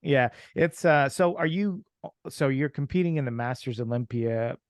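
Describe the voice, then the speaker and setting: Halting man host from Ukraine. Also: medium pitch, dry recording, studio quality. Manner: halting, many fillers and restarts. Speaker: podcast host. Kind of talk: casual interview.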